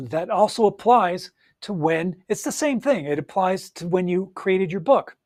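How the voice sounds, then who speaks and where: A man's voice: high-pitched, animated, a little slow, a little mellow, dry recording, clean studio sound. A man giving an upbeat, encouraging solo talk as a coach, speaking straight to the listener with frequent fillers and restarts. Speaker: audiobook coach.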